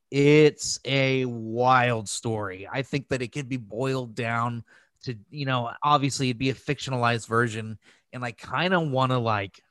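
A man's voice: mid-range voice, fairly bright tone, clean tone, dry recording. A man with an American accent chatting casually as a podcast co-host, informal and freely swearing. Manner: informal, profane, conversational. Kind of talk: casual chat.

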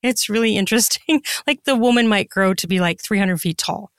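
A woman's voice: mid-range voice, a little fast, a bit bright, no room sound, studio quality. A woman speaking as a podcast guest in casual interview chat, chatty and open as she explains things. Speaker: podcast guest, erotica author and narrator.

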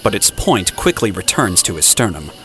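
A man's voice: low-pitched, sing-song, moderate pace, a bit bright, slight background noise. A man reading scripted, dramatic story narration for an audio drama. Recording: music playing behind the voice.

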